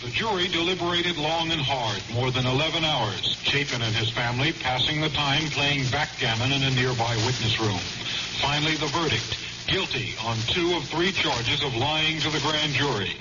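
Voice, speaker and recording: Male American correspondent, scripted news report, middle register, dull broadcast with clear noise. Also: medium pitch, normal speed. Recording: muffled radio with clear noise.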